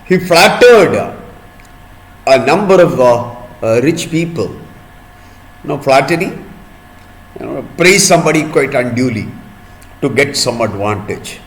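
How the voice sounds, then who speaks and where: Slow, very animated male storyteller. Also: mid-range voice, rough recording. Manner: deliberate, pausing, repeats and explains words. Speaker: storyteller for children. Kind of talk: storytelling for children, with word explanations.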